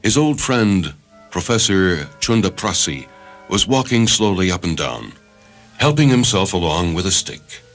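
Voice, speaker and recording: A man narrates a dramatic short story in a low voice. His scripted delivery is measured and literary. Music runs softly behind him. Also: sing-song.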